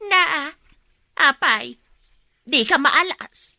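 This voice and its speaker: High-voiced nagging Filipino mother. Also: lively intonation, extremely slow, gravelly, pushed and bright, no room sound, clean studio sound, exaggerated voice. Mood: prying. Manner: exclamatory, scolding. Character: nagging middle-aged mother, scolding and meddlesome. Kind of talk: Ilocano radio drama acting.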